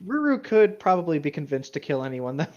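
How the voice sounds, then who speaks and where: A man co-hosting a casual chat about tabletop role-playing, blunt and quick to interject, on a lo-fi microphone. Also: fairly high pitch, very animated, a crowd in the background. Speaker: co-host reading and discussing stories. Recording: dull lo-fi microphone.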